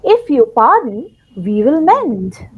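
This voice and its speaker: A woman's voice: sits high, sing-song, slow pace, slightly rough, phone-quality sound. A woman narrating a play in theatrical rhymed storytelling, addressing her listeners directly.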